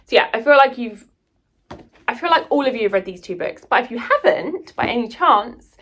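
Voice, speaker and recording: A woman's voice: on the high side, expressive, moderate pace, a bit bright. A British woman chatting casually and enthusiastically to viewers in a rambling book vlog. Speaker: book vlogger and writer.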